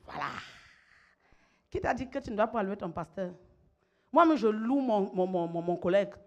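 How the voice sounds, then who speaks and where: A woman's voice: medium pitch, lively intonation, normal speed, darkish tone, highs cut off. A middle-aged woman pastor, preaching emphatically, repeating phrases and calling on her listeners to respond. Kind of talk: sermon.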